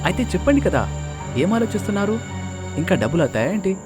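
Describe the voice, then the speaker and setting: A confident young traveller in a cartoon horror tale, acted by a man. He speaks in a raised pitch, casual and questioning, with urgency. Loud music plays behind him. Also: very animated, quick pace. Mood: curious, insistent.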